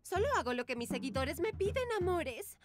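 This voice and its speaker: A woman voicing a vain, scheming teenage diva in a cartoon dub, haughty and gloating, with theatrical drawn-out phrasing. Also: high voice, big pitch swings, music in the background. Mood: haughty, mocking. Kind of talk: dubbed cartoon acting.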